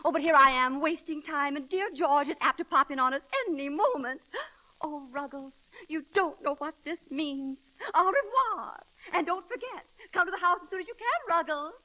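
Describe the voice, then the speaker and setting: Gushy bossy society lady, old radio comedy, real high n bright, affected, hissy recording. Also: moderate pace, rough recording. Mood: gushing, bossy. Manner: breathless, effusive, affected. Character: flighty, gushing, bossy society matron. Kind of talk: 1930s radio comedy acting.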